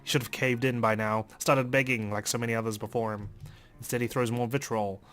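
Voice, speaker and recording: A man's voice: medium pitch, level tone, brisk, a bit bright. A man narrating a visual novel in the first person, with a theatrical, wry delivery. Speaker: let's play narrator.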